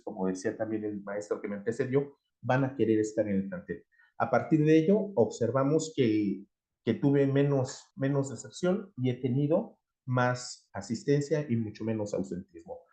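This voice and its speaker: Earnest school director, mellow voice. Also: male voice, mid-range voice. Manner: slow, earnest, halting. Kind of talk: presentation at online event.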